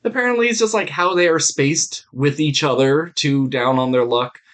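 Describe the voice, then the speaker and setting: A man's voice: on the high side, normal speed, a bit bright. A man co-hosting a podcast, chatting about shows in an informal, rambling, sweary way. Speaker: podcast co-host. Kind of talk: casual review chat.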